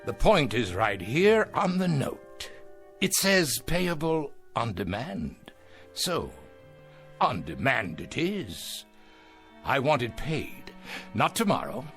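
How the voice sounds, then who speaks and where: Gloating, theatrical tycoon, swooping voice. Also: male voice, mid-range voice, sing-song, a bit bright, faint music behind, exaggerated voice. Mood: gloating, menacing. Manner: theatrical, drawn-out phrasing with mocking relish. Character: gloating, ruthless self-made male business tycoon. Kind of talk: audio drama acting.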